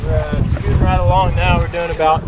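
A young man in casual narration from a small sailboat on a lake, talking through his sailing with many "uh" pauses. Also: on the high side, little pitch movement, muffled recording. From Texas. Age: young adult. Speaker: sailing vlogger. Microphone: action camera on the boat. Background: wind, water and loud music.

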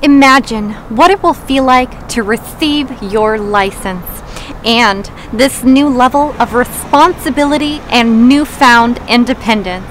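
A woman's voice: a little high, measured pace, a bit bright, a little hiss or hum, rough recording. A woman playing an over-enthusiastic driving instructor, gushing dramatically in a guided visualization parody. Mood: rapturous.

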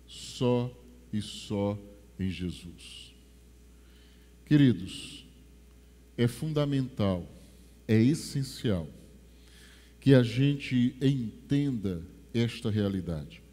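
A man in his forties from Brazil, with a Rio de Janeiro accent, preaching a sermon on a church stage through a headset mic, building to strong emphasis and then dropping to slow, hushed lines. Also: medium pitch, lively intonation. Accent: Rio de Janeiro Portuguese. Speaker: pastor. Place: large church stage with a drum kit. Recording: long church reverb.

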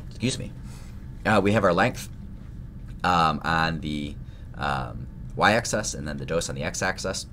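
Guy w a cold teaching code, real low voice, coughs, headset, music under it. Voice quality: deeper than usual from illness. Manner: relaxed, loose, self-deprecating, many fillers. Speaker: lecturer. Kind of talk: screen-share coding tutorial. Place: home office at a desk.

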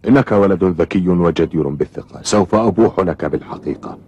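A man playing a kindly, patient middle-aged fisherman in a cartoon, speaking formal Arabic with measured, clear articulation. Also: deep voice, mellow, dry recording, dull audio. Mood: confiding.

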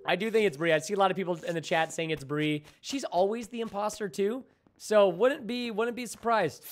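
A man's voice: sits high, a little fast. Playfully dramatic man streaming a game. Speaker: gaming streamer host. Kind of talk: live gameplay commentary with friends.

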